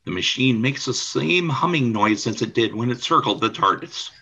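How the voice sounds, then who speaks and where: A man's voice: mid-range voice, animated, moderate pace, sharp tone, slightly rough, highs cut off. A man reading scripted scene narration for a radio play. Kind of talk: scripted narration of stage directions.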